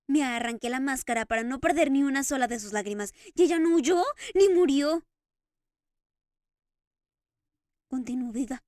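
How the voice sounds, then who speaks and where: Storybook figure: tearful masked man, fervent and stammering, pitched high and dry, put on by a woman reader. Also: darkish tone, dry recording. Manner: impassioned, stammering, sobbing outbursts. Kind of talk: audiobook character reading.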